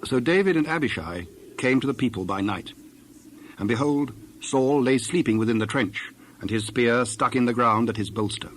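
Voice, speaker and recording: A man narrating a dramatized scripture, reading scripted archaic text in a measured, formal way. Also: mid-range voice, slight background noise.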